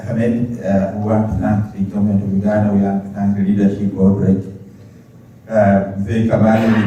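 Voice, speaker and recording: Flat, low-voiced man in an echoey hall. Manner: earnest, pledging, halting restarts. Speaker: grain trading company representative. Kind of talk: conference remarks. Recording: echoey hall, distant mic, faint noise.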